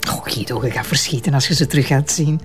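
A woman's voice: a bass voice, fast talker, soft background music. An actress playing an affectionate, teasing wife and mother in a Flemish radio drama, talking in a playful, exclamatory way. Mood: warm, soothing. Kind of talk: naturalistic radio drama dialogue.

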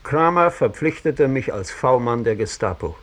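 A brooding bitter informer telling his past, raspy medium voice, old radio play, very deliberate and intense. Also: mid-range voice, lively intonation. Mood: brooding, reflective. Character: bitter, reflective male former Gestapo informant recounting his past. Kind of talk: mid-century radio drama monologue.

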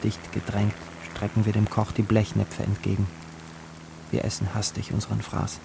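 A man's voice: on the low side, monotone, normal speed, creaky, noisy background. An actor playing a poor young man who narrates his own story in a radio drama, introspective and yearning. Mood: detached narrating. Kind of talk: literary first-person narration in radio drama.

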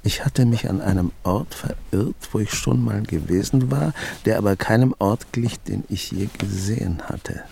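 Grandfather in his seventies, deep gravelly voice, dry and sardonic, weary loner, radio play. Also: moderate pace, hardly any pauses, warm tone, a little hiss or hum. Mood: brooding, reflective. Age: 70s. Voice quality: gravelly, husky. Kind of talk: radio drama acting and monologue.

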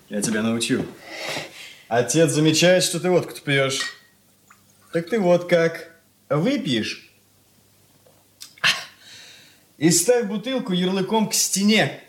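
An actor playing a sullen, desperate, rebellious schoolboy in a radio drama, curt and brooding in manner. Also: male voice, high voice, animated, a little slow. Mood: sly, offhand. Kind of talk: Russian radio drama acting.

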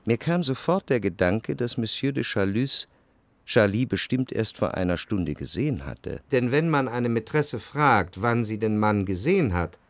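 An actor playing a reflective, well-off man of letters, narrating a literary radio play in a measured, analytical way. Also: on the low side, sing-song, no echo. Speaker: first-person narrator.

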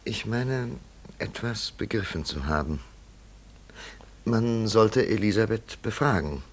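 An actor playing a thoughtful, probing investigator with a pondering, deliberate manner in a German radio play. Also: male voice, lowish voice, animated, exaggerated voice. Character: thoughtful, probing male investigator, quietly certain.

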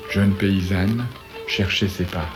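Male storyteller, low register, reflective and fragmentary delivery, music underneath. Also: flat delivery, slow pace. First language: French. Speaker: composer telling his story. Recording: music playing behind the voice.

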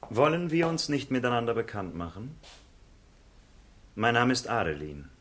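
An actor playing a cynical, world-weary gentleman in a literary radio play, confiding and mocking in a theatrical way. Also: mid-range voice, big pitch swings, normal speed. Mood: wry, probing.

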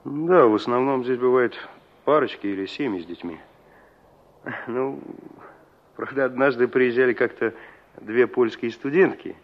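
An actor playing a guarded, plain-spoken young village forester and jealous suitor in a 1960s Soviet radio drama, hesitant and laconic. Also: male voice, medium pitch, normal speed, long pauses, slight background noise, close to an everyday voice. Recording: dull old radio drama recording.

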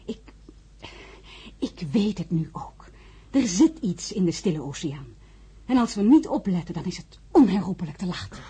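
A woman's voice: lowish voice, sing-song, normal speed, mellow, slightly rough, full character voice. An actress playing an earnest, worried woman who narrates and speaks in a Dutch science-fiction radio drama. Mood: agitated, worried. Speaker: narrator and lead character in a radio play.

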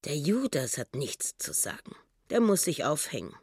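A man's voice: fairly high pitch, huge pitch swings, normal speed, husky, no echo, clean studio sound, exaggerated voice. A man acting in a radio drama, voicing a pious woman's simple, indignant thoughts in an emphatic, naive, moralizing way. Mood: scornful.

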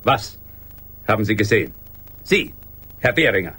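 An actor playing an argumentative, pedantic office sceptic in a radio play, declamatory and sarcastic. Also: male voice, lowish voice, very animated, normal speed, sharp tone, slightly rough, exaggerated voice. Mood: scornful.

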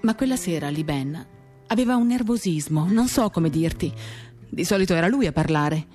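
Woman, slightly low pitch, dramatic literary reading, confiding first person, measured, reflective, soft guitar accompaniment. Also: animated. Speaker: reader of a novel for radio theatre. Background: acoustic guitar music.

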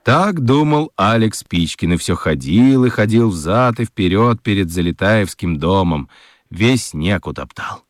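Low-voiced, animated male storyteller. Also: measured pace, no room sound, studio quality. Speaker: narrator. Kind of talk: expressive scripted children's story narration.

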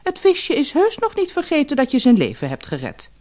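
A woman's voice: high voice, wildly swooping pitch, moderate pace, a little mellow, voice pushed up. A woman voicing a demanding, never-satisfied fisherman's wife with haughty airs in a fairy-tale audiobook. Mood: insistent. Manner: imperious, complaining.

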